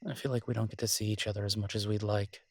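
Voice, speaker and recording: Low, husky, tender werewolf boyfriend played by a man. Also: level tone, very fast, dry recording. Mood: tender, relaxed. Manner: hesitant, tender, conversational, lightly teasing. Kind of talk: romantic roleplay audio, intimate casual conversation.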